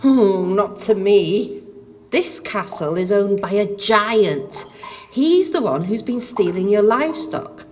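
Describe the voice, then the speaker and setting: A woman's voice: medium pitch, lively intonation, slow, a little mellow. A woman playing a plaintive, indignant talking goose held captive in an audio pantomime, with theatrical delivery. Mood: matter-of-fact. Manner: theatrical, exclamatory. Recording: long echoing dungeon reverb.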